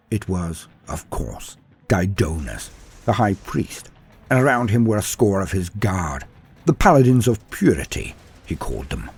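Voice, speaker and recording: A man with a fairly low voice narrates dark fantasy battle fiction over clearly audible music. His delivery is grave and dramatic, rising with emphasis and falling away into quieter phrases. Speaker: storyteller and narrator of a fan lore channel. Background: music under the voice.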